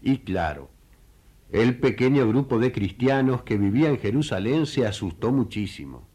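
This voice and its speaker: A man's voice: mid-range voice, animated, moderate pace, dark tone, slightly rough. A man hosting and narrating a radio story, talking to listeners conversationally as friends. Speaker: host and narrator.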